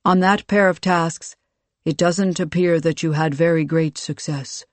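Narrator doing big booming ruler guy, formal and imperious, audiobook character, really dry close sound. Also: steady tone, unhurried, dry recording, lightly acted. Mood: stern, imperious. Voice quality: booming, loud. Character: towering ageless military ruler, booming baritone, commanding, teasing.

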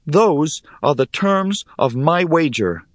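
A man's voice: a little high, animated, takes it slowly, no echo, studio quality, pitched above the performer's own voice. A man playing an earnest, determined young boy hero in an audiobook fantasy tale, with an indignant edge. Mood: determined, strained. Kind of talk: audiobook character acting.

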